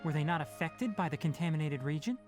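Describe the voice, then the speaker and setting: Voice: mid-range voice, animated, quick pace, a little mellow, natural voice. An actor playing a blunt, impatient companion character in a video game, asking pointed questions. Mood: businesslike. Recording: music behind the voice.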